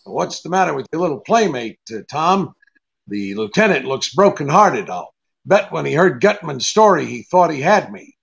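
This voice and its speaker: An actor playing a cynical, unyielding private detective in a 1940s-style radio drama, with terse, halting tough talk. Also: male voice, a little high, sing-song, vocal fry, a bit bright, highs cut off, full character voice. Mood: dry, sardonic.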